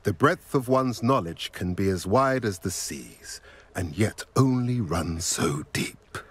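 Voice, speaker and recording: A man's voice: fairly low pitch, lively intonation, slow, breathy edge, full character voice. A man playing a wise, grave centaur in a video game, speaking solemnly and in aphorisms. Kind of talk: scripted video game cutscene acting.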